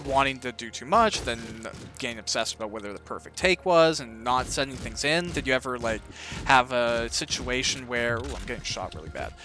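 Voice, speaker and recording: A man's voice: medium pitch, lively intonation, a little fast, bright, forceful tone, soft background music. A man hosting a casual interview, encouraging his guest with long, rambling questions. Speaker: interviewer, audiobook creator. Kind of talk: casual interview while playing a video game.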